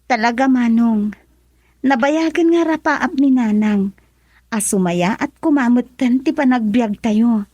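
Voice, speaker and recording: An actress playing a curious, excitable young daughter in a radio drama, speaking with an Ilocano accent. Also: female voice, fairly high pitch, unhurried, no echo, highs cut off. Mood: curious, surprised.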